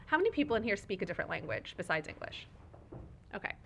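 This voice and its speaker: A woman's voice: medium pitch, big pitch swings, fast talker, vocal fry. A woman whose first language is Urdu, a guest speaking at a live fireside chat with an audience, thoughtful and expansive, often tagging her points with "right?" and "like". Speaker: guest speaker, foundation leader. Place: event room with audience.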